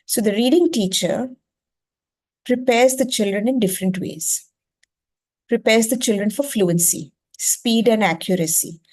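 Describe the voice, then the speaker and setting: A woman's voice: a little high, measured pace, darkish tone. A woman from India with an Indian accent and Gujarati as her native language, giving an explanatory masterclass talk as an educator, emphatically repeating phrases and checking in with short questions. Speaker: educator leading a masterclass on reading.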